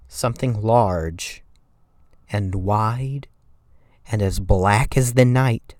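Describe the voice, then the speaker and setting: Man acting a slow, timid, shaky horror narrator. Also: on the low side, darkish tone, no room sound, clean studio sound. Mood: dread. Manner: timid, shaky, halting with stammered repeats. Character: timid, shaky, haunted narrator recalling a frightening night drive. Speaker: creepypasta reader. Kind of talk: dramatic first-person horror story reading.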